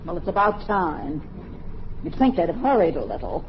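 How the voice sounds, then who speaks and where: A woman playing a nagging, sarcastic, domineering wife and mother in an old-time radio comedy, sharp-tongued and complaining. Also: lowish voice, big pitch swings, moderate pace. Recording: muffled, faintly noisy old radio recording.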